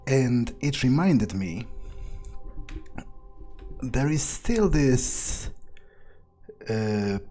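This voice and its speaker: A man voicing a power-hungry, menacing vampire in a grandiose, brooding in-character monologue for a game playthrough, with trailing pauses. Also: mid-range voice, darkish tone, breathy edge, full character voice. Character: power-hungry, menacing male vampire, musing coldly on revenge.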